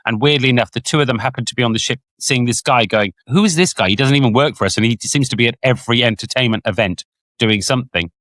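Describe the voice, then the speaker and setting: A man, a podcast co-host and former cruise director, reminiscing in a casual, animated way. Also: medium pitch, fast, no room sound. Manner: animated storyteller, puts on voices when quoting.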